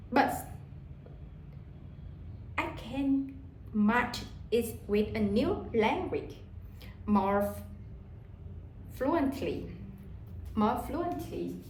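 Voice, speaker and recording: A woman from Vietnam with a Vietnamese accent, talking casually in English about how she learns it, halting and often repeating words as she searches for them. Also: on the high side, darkish tone, echoey room, slight background noise, poor audio.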